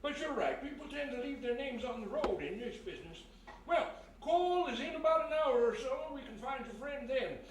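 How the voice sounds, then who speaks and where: Heard from off in a very echoey hall, this is an actor playing a friendly old theater doorman in a radio mystery. The voice is high and lively, swinging up and down, with a folksy, chatty manner. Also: moderate pace. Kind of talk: 1940s radio mystery acting, live on stage. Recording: echoey live room, distant mic, faint noise.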